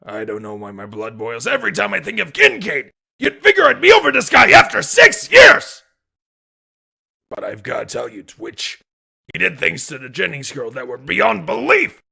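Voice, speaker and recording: A man voicing a bitter, hot-tempered police detective in a comic dub, raspy and forceful, swinging between muttering and ranting. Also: on the high side, huge pitch swings, normal speed, full character voice. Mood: outraged. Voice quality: gravelly, raspy.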